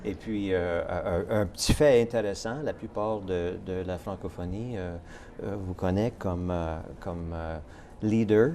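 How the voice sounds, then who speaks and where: A man's voice: deep voice, measured pace, few pauses, dark tone, a little hiss or hum. A man hosting a casual TV interview, chatty and laughing, with many fillers. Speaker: talk show host.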